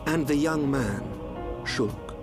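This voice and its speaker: An actor playing a composed, enigmatic young seer in an animated game cutscene, speaking formally and with measured assurance. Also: male voice, on the high side, over music. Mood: grave, measured.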